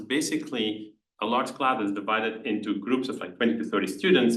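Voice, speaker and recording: A man's voice: medium pitch, a bit bright. Belgian guy giving a lecture, lots of "kind of" and restarts, sounds far off in a roomy hall. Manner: hesitant, many restarts and "kind of" fillers. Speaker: engineering professor presenting research. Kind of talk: conference talk with audience questions. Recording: echoey room, voice from far off the mic.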